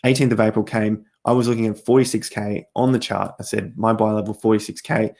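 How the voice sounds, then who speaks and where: A man's voice: fairly low pitch, steady tone, fairly quick, vocal fry, darkish tone. A middle-aged man hosting a crypto livestream, walking through charts in a repetitive, emphatic way while he is losing his voice and coughing. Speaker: crypto trading livestream host. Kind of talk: live-stream chart analysis.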